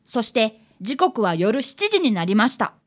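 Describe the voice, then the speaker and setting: A woman's voice: a little high, sing-song, a little slow. A woman voicing an earnest, polite fifth-grade boy, an apprentice detective who also narrates a radio drama. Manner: polite, eager, clearly enunciated.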